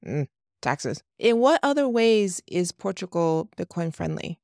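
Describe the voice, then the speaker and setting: A woman's voice: lowish voice, big pitch swings, a little slow, gravelly, a little mellow, no echo, studio quality. Upbeat American woman hosting a podcast. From United States. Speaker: podcast host. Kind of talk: friendly interview hosting.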